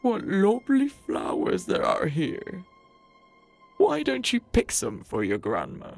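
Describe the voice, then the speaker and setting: Big bad wolf for a storybook audio tale: sly, coaxing, gravelly, swooping slowly. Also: female voice, mid-range voice, wildly swooping pitch, darkish tone, faint music behind, heavily acted. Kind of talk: children's audio fairy tale.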